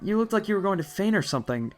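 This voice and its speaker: A man's voice: high voice, very animated, rapid-fire. A man playing a shy, awkward teenage boy in an audio drama. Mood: flustered, apologetic.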